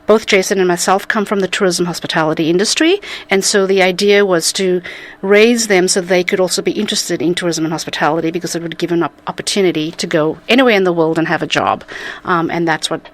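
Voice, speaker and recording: A woman's voice: lowish voice, fairly even intonation, fast talker. A woman from South Africa, a guest on a radio interview, explaining her foundation's work in long conversational runs with restarts. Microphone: studio microphone.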